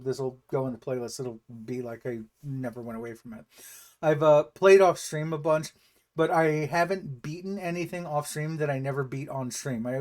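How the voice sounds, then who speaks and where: A man streaming from home, chatting casually and rambling through shout-outs and plans with many pauses and restarts. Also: a little high. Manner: rambling, lots of ums and restarts. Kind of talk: casual livestream chat.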